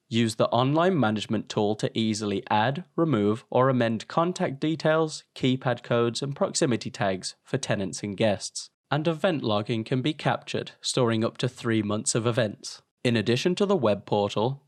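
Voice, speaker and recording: A man's voice: medium pitch, dry recording. Dry, scripted male promotional narrator. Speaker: product video narrator.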